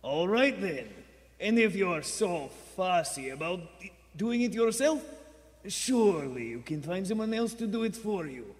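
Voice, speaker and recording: A man playing a cold, scornful spy boss with deliberate delivery in a radio play. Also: sits high, sing-song. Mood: coolly threatening. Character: Vladimir, cold, menacing male spy boss. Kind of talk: vintage radio play acting. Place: theater stage before a live audience. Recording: echoey theater stage.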